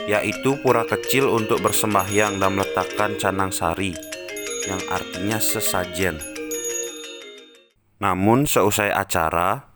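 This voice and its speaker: A man narrating a scripted story. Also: on the low side. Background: music behind the voice.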